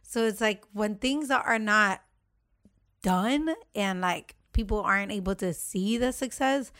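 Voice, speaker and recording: A woman with a self-described deep voice talking frankly in a relaxed conversation. Her delivery is blunt and emphatic, and the sound is dry and close. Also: a little slow, no room sound. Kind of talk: casual chat.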